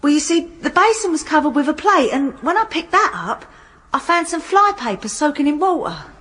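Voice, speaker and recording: Deferential, high-voiced housemaid. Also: female voice, big pitch swings, moderate pace, fairly bright tone. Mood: earnest, deferential. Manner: deferential, simple rustic grammar. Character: plain-spoken housemaid giving evidence, deferential. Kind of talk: radio courtroom drama acting.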